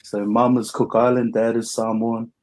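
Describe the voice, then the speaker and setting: A man's voice: medium pitch, level tone, moderate pace, a little mellow. A young man from New Zealand with a New Zealand accent, telling his story as an interview guest in an easygoing, plain way.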